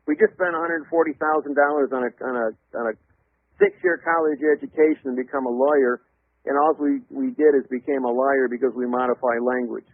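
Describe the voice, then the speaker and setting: A man speaking as an interview guest, explaining at length in an emphatic, didactic, loud voice. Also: medium pitch, fairly even intonation, a little fast, dry recording. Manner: emphatic, didactic, spells out words letter by letter. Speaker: interview guest, legal theorist. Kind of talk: explanatory radio interview monologue. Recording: dull, lo-fi radio or phone sound.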